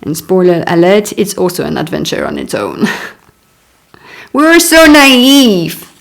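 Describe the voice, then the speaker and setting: A woman's voice: medium pitch, animated, creaky, a bit bright. A woman in her thirties whose first language is French, reading her own memoir aloud and stopping for chatty asides. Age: 30s. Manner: unhurried reading broken by chatty asides and little laughs. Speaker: author.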